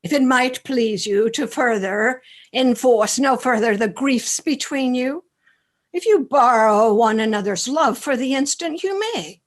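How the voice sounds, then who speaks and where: A blunt, dramatic Shakespearean figure voiced by a woman in a radio play. The voice is fairly high, declaiming at a very slow, deliberate pace. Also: animated. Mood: dry, blunt. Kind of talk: live radio play acting.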